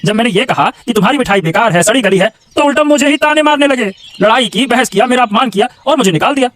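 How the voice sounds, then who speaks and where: A man voicing a resentful, complaining palace gentleman in an animated Hindi moral story, grumbling in an agitated way. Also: very high voice, quick pace, no room sound. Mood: aggrieved.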